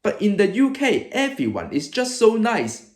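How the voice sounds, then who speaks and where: A man's voice: high-pitched, wildly swooping pitch, moderate pace, reverberant. A man in his early twenties with a Hong Kong accent, presenting an exaggerated, emphatic comedic monologue to camera as a video host. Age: early 20s.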